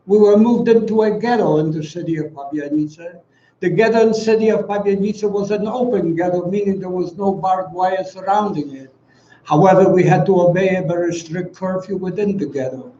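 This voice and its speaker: An elderly man with a Polish accent delivers a solemn public testimony in a high-pitched, soft-toned voice. He speaks formally and deliberately, pausing for emphasis. The sound is distant and very echoey, as if in a large room. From Poland. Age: around 90. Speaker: guest speaker, Holocaust survivor giving testimony. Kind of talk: prepared commemorative speech. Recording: echoey, dull, distant, faint noise.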